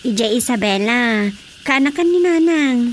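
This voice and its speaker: A woman's voice: fairly high pitch, expressive, moderate pace, a little hiss or hum, close to an everyday voice. A woman playing a chatty, teasing village daughter in a Filipino radio drama comedy, speaking expressively.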